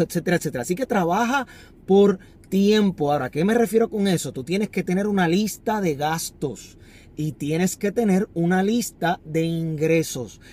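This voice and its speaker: A man with a fairly high voice speaks straight to a phone camera inside a parked car. He sounds earnest and instructive, repeating key phrases as he coaches on money. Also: darkish tone. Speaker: finance vlogger. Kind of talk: motivational talk to camera. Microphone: phone camera on the dashboard.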